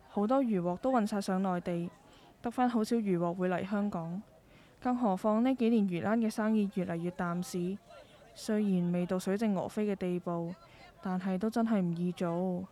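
A middle-aged market-stall mother in a radio drama, acted by a woman, talks in Hong Kong Cantonese at a middling female pitch. She is caring and a little world-weary, with a concerned, reflective way of speaking. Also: dark tone, close to an everyday voice. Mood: concerned, resigned. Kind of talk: scripted radio drama dialogue.

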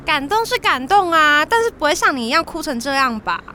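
A woman's voice: high voice, sing-song, a little fast, pushed and bright, a little hiss or hum, exaggerated voice. A young woman playing a sassy, whiny, dramatic teenage schoolgirl in an audio drama, with a Taiwanese accent. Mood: teasing. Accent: Taiwanese Mandarin. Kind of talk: amateur audio drama acting. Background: music.

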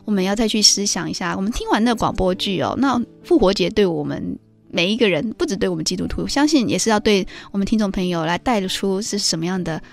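A woman's voice: mid-range voice, expressive, fast, creaky, soft background music. A woman hosting a devotional radio talk with a co-host, explaining things in an earnest way.

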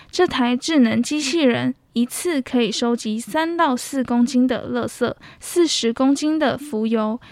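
Young taiwanese woman doing a student news report, really high voice, dry close sound. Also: dry recording. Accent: Taiwanese Mandarin. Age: young adult. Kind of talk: scripted news narration.

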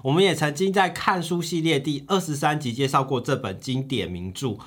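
A man co-hosting a book podcast, narrating from a script like a storyteller with drawn-out phrase endings. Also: a little high, a bit bright.

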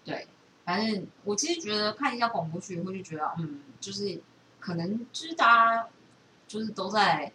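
A woman's voice: medium pitch, lively intonation, moderate pace, pushed and bright, reverberant. A woman with a Taiwanese accent chatting casually as a podcast host, thinking aloud in a rambling way. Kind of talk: casual chat. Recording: distant mic with faint background noise.

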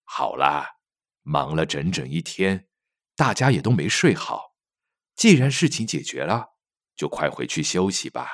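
A man plays a middle-aged gentleman of a castle, a talkative and reassuring father in an audio drama. His voice sits fairly low and moves expressively as he explains things, recorded close and dry. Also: sing-song, a little slow, no room sound, heavily acted.

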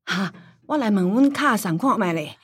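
A woman's voice: mid-range voice, animated, normal speed, breathy edge, dry recording, studio quality. An actress voicing a forgetful, teasing old grandmother who grumbles and scolds in a radio drama. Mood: reminiscing. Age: older. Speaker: voice actor.

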